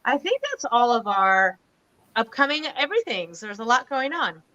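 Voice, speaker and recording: A woman's voice: on the high side, very animated, normal speed, sharp tone, muffled recording. A woman hosting a casual online show over a video call, chatty and gushing as she introduces her guest. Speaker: host.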